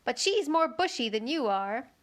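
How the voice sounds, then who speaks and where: A woman voicing a bossy, excitable tiger lily with a quick temper and a scolding, haughty manner in a children's storybook. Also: sits high.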